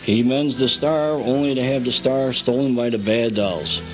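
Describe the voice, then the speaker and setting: A man's voice: medium pitch, steady tone, normal speed, music in the background, rough recording. A man recorded at home as an interview guest, telling a story step by step in an informal guided way. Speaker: guest, hobbyist showing the village they built. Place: at home, indoors.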